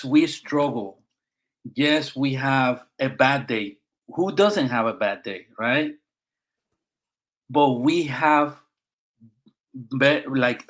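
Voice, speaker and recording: A man's voice: mid-range voice, fairly bright tone, rough recording. A middle-aged man from Puerto Rico with a Puerto Rican accent, a veteran guest talking emphatically in a casual podcast conversation and often checking in with "right?".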